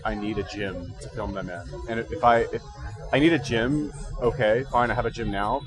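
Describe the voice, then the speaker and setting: A man's voice: lowish voice, poor audio. A man with a slightly congested voice, hosting a casual livestream and rambling through fitness advice in slangy, informal talk. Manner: slangy, informal, thinking aloud. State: recently woken up. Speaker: livestream host giving fitness and diet advice.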